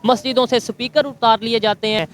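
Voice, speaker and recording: A man's voice: extremely high pitch, steady tone, brisk. A man with a Pakistani accent hosting an interview, asking long, conversational questions. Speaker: street interviewer, host. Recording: clear outdoor ambient and vehicle noise.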